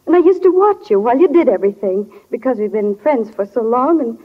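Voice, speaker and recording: A woman's voice: a little high, lively intonation, a little fast. An actress playing an earnest, prim schoolgirl in 1940s radio drama, speaking in a forthright way. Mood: hurt, earnest. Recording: dull, lo-fi 1940 radio recording.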